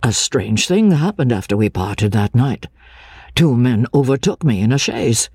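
A woman voicing an earnest, cautious gentleman, coaxing and reassuring in a measured way, in a classic audiobook. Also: rumbling low, expressive, slightly rough, dry recording, full character voice. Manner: measured, deliberate, persuasive. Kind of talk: dramatic audiobook reading of classic literature.

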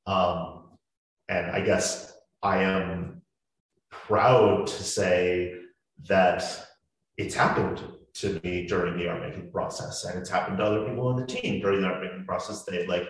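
Earnest low-voiced man in echoey room. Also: normal speed. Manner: earnest, thoughtful, with many restarts. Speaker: podcast creator. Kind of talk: panel discussion.

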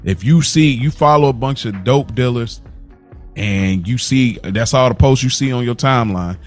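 A man's voice: mid-range voice, normal speed, faint music behind. A man co-hosting a casual podcast, talking informally with lots of slang and repeating phrases for emphasis. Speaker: podcast co-host.

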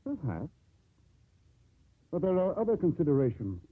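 Stuffy, animated, husky male shipowner. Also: a little high, normal speed, dry recording, full character voice. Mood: disapproving. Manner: indignant, stuffy. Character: stern, disapproving male shipowner, indignant traditionalist. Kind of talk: 1950s radio drama acting. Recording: muffled old 1950s radio recording.

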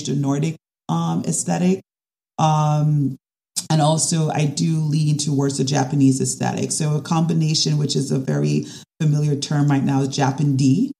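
A woman, a design specialist talking through her ideas in a casual interview, often pausing and restarting. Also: low-pitched, creaky, mellow. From Caribbean. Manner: thoughtful, with frequent restarts and fillers. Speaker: design and construction specialist guest.

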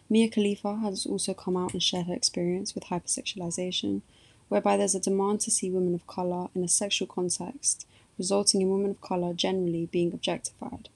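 A woman co-presenting a podcast, reading scripted narration in a formal, measured way. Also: medium pitch, gravelly, a little mellow, husky.

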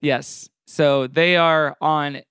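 A man's voice: a little high, steady tone, extremely slow, a bit bright, clear, resonant tone, dry recording, clean studio sound. A man co-hosting a podcast in casual comedic chat, with a joking, sarcastic manner. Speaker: podcast co-host.

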